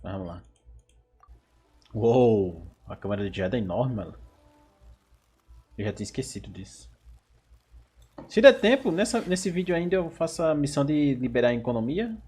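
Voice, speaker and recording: A man's voice: mid-range voice, big pitch swings, a little fast, long pauses, a little mellow, breathy edge. A Brazilian man giving casual, playful Let's Play commentary with informal reactions. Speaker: gaming YouTuber.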